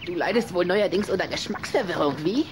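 A man's voice: high voice, lively intonation, background noise. An actor playing a grumpy, suspicious boy vampire in a children's audio drama, grumbling with disdain. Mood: disgusted, impatient. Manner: grumbling, disdainful, halting when unsure.

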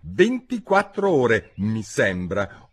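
A man voicing a curious, polite little girl in a storybook reading, earnest and eager, with a husky edge to his voice. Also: lowish voice, huge pitch swings, slow, heavily acted. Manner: earnest and eager, sometimes flustered.

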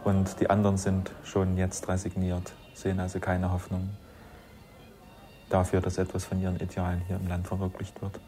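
A man reflecting earnestly on politics in a sober, deliberate way, as if interviewed. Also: sits low, completely flat delivery, mellow, soft background music. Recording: faint ambient noise.

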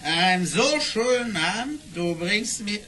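Casting: boozy, jovial mayor for audio drama, lively swooping pitch, slow comic delivery. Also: male voice, heavily acted. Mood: tipsy, pleading. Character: tipsy, jovial town mayor begging for more drink. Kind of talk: comic audio play acting.

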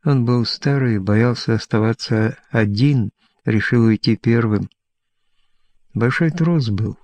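An aging, lonely showman played by a male audiobook reader rambles with boastful self-assurance. He speaks slowly in short, insistent phrases, and the sound is close and dry. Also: medium pitch, darkish tone, no echo. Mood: wistful bravado. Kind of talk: audiobook character dialogue.

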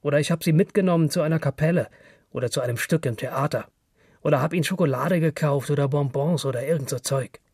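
A man's voice: a little high, quick pace, a little mellow, husky, no echo, studio quality. A man voicing a cocky young man who brags about women in coarse, self-assured slang, in an audiobook reading. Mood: boastful. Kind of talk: audiobook character dialogue.

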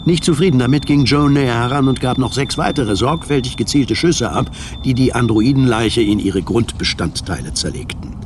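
A man narrating a science fiction radio drama in a scripted, measured storytelling style. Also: medium pitch, background noise, lightly acted. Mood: measured, factual.

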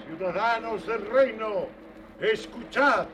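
Fairy-tale town crier, distant, high-pitched, slow declamation, solemn, theatrical, noisy old record. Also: male voice. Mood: solemn proclaiming. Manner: declamatory, proclaiming. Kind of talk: theatrical children's story record acting.

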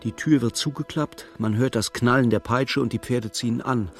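An actor narrating a dark literary radio play as a solemn, measured storyteller. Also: male voice, mid-range voice, faint music behind, lightly acted. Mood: grave, urgent.

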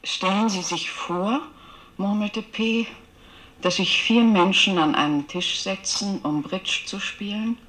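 An actress playing a woman dictating a murder story onto tape in a 1970s radio play, narrating deliberately over noisy playback. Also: mid-range voice, fairly bright tone. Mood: measured, storytelling.

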